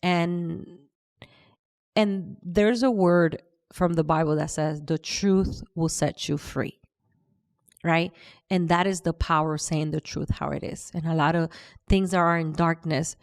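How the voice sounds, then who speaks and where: A woman's voice: deep voice, steady tone, unhurried. A woman hosting a podcast, speaking earnestly about faith and sharing stories, with frequent restarts. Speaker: podcast host. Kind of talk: casual podcast chat.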